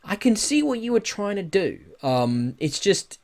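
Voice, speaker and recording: A man in a casual podcast discussion, giving opinions in an animated, sweary way with rhetorical questions. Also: high-pitched, measured pace. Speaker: podcast host.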